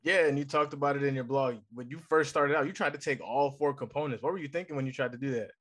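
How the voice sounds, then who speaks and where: A man's voice: medium pitch, fast talker, a bit bright. A man hosting an interview podcast, asking friendly, upbeat questions. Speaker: podcast host.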